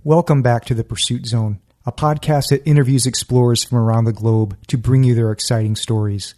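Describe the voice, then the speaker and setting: A man's voice: medium pitch, fairly even intonation, brisk, darkish tone. A man hosting an adventure podcast, reading a scripted introduction and asking his guests questions in an upbeat, friendly way. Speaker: podcast host.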